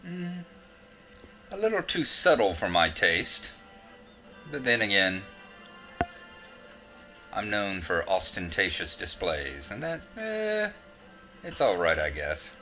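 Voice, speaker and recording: A man with a Southern US drawl narrates a game walkthrough in a deep, low voice. His tone is bright and forward. He rambles in a relaxed way and pokes fun at himself between directions. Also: slow pace, pauses often, faint music behind. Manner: relaxed, rambling, self-mocking asides. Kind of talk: casual gameplay commentary.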